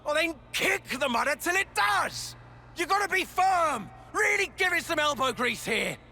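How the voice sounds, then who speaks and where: Squeaky, brash little creature boss. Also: big pitch swings, normal speed, vocal fry, sharp tone, a little hiss or hum, heavily acted. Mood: bossy, impatient. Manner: bossy, brash, boisterous. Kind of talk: animated game cutscene acting.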